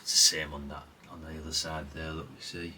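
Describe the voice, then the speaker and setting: A man's voice: extremely low pitch, flat delivery, a little fast, bright, forceful tone, slight background noise. A middle-aged British man talking casually in a rambling, chatty show-and-tell way, often correcting himself and adding tag questions. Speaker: hobbyist video host.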